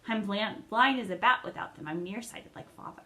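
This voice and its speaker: A woman's voice: medium pitch, lively intonation, a little fast, creaky, sharp tone. A woman voicing a kind, reassuring teenage boy in a read-aloud storybook. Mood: tender.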